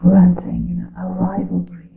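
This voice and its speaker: A woman performs a whispered ASMR monologue as a solemn witch. She speaks very slowly and breathily, with crackling fry at the edges. The sound is muffled and lo-fi, with noticeable echo around her hushed words. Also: completely flat delivery. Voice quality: breathy whispering mixed with soft low voice. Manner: slow, hushed, theatrical, archaic storybook phrasing. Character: grave witch counseling her coven in secret. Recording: muffled lo-fi, echoing room.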